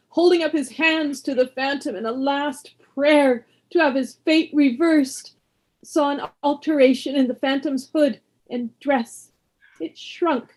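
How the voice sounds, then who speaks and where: A woman narrating a ghost tale in a radio play, with measured, dramatic storytelling. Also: high-pitched, a little mellow.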